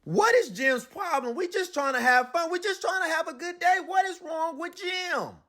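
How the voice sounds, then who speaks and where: A man's voice: very high-pitched, normal speed, sharp tone, pitched above the performer's own voice. A man voicing nosy, cheerful storybook jungle animals, upbeat and insistent as they coax and chide. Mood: puzzled chiding.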